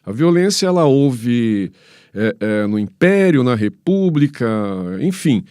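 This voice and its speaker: A Brazilian man speaks as an academic guest in a dry, close-sounding recording. His voice sits at a medium pitch, and he explains didactically, often stopping mid-phrase to find the next word. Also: animated, warm tone, smooth, no room sound. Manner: halting, didactic, pausing mid-phrase to search for words. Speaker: history professor, guest commentator. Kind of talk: expert interview answers.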